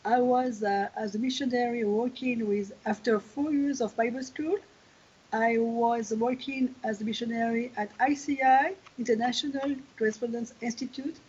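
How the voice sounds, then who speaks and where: Older woman from Algeria and France, husky, fairly high, thoughtful pauses; lo-fi video call audio. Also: steady tone, darkish tone. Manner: thoughtful, pauses to search for words. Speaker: guest.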